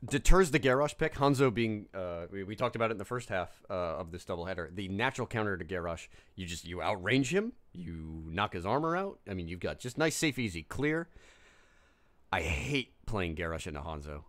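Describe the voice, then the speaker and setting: A man casting a live video game match, talking in quick asides with frequent restarts. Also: sits low, big pitch swings, a bit bright. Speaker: esports caster.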